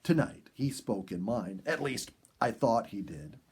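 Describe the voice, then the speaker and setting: A man voicing an earnest, thoughtful young girl in a storybook, gentle, curious and sincere. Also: medium pitch, animated, a little slow, breathy edge. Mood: thoughtful, wondering.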